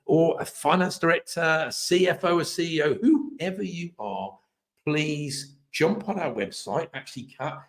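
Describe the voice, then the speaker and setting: A man hosting a live webcast, chatty and upbeat, rambling with restarts, his voice a little hoarse. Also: fairly high pitch, unhurried. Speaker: host.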